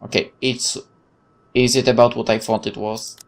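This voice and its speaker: A man's voice: mid-range voice, little pitch movement, moderate pace, creaky. A man reading visual novel narration aloud dramatically, with casual asides as a gaming host.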